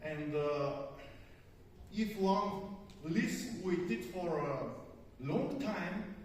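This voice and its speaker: Bulgarian-accented male speaker, slow and halting with lots of fillers, mic far off in an echoey conference hall. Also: sits high, expressive, slight background noise. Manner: halting, many fillers and stutters. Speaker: psychotherapist speaker. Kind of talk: conference talk. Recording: distant, echoey hall recording.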